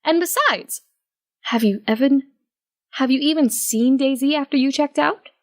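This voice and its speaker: A woman acts out a young female cartoon pony musician for an audio story. She sounds high-pitched, fussing and exasperated. She insists on her point and scolds like a worried friend. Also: normal speed, lightly acted. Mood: insistent.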